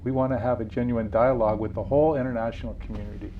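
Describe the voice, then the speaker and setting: A man's voice: mid-range voice, steady tone, rapid-fire, phone-quality sound, a little hiss or hum. A man being interviewed about his book, telling stories earnestly with frequent restarts. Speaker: author and guest. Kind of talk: interview conversation.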